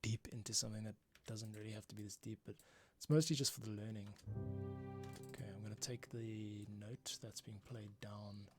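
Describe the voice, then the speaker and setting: A man's voice: lowish voice, fairly even intonation. Man thinking aloud over instrumental music. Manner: muttering to himself in short, halting remarks. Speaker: music composer livestreaming his work. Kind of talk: casual thinking-aloud work commentary. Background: instrumental music playback.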